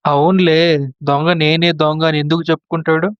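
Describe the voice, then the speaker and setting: A man's voice: on the high side, level tone, moderate pace, clean tone, no echo, lo-fi sound, natural voice. A man playing a trusting, easily swayed younger brother and husband in a moral story drama, earnest and questioning. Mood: resentful.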